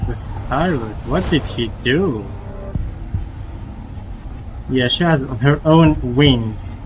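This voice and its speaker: Slow-talking male streamer reacting to a game. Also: mid-range voice, poor audio. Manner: halting, repeats himself, slangy. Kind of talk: casual live game reaction commentary. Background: game music and sound.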